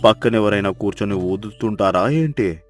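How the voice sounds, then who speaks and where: A man voicing village characters in a children's story, with a deep, slow, scolding manner. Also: faint music behind. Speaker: narrator voicing male characters.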